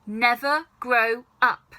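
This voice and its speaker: A woman playing a snappish, sinister old grandmother, bright-voiced. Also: on the high side. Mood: scolding, bossy. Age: older. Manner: snapping, commanding, drawn-out relish on key words. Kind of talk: children's storybook character reading.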